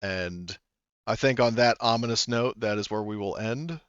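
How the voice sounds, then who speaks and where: A man's voice: fairly low pitch, normal speed, fairly bright tone, smooth, no room sound, lo-fi sound. A man narrating as game master of a tabletop game, hesitant with many fillers.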